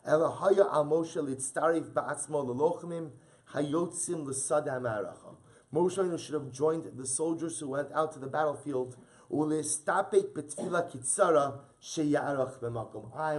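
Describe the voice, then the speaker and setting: A man's voice: fairly high pitch, moderate pace, husky. A man teaching a Torah class as a rabbi. He reads Hebrew source text aloud, explains it in English, and repeats his key points for emphasis.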